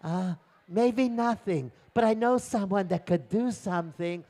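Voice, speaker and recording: A man voicing a polite, helpful young girl in an oral story, gentle, chatty and a little hesitant. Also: sits high, measured pace, voice pushed up. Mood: earnest, helpful.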